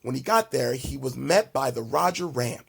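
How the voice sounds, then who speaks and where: A man reading a children's storybook aloud in a deliberate, theatrical way for young listeners. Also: medium pitch, lively intonation, vocal fry, husky, no room sound. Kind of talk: animated storybook narration.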